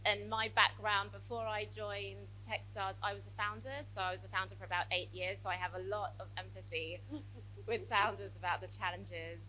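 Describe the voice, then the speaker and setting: Woman, British-tinged accent, bright and crisp, brisk, confident, faint hum. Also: mid-range voice, level tone, rough recording. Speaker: panelist, accelerator managing director. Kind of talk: panel introduction. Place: event stage with audience.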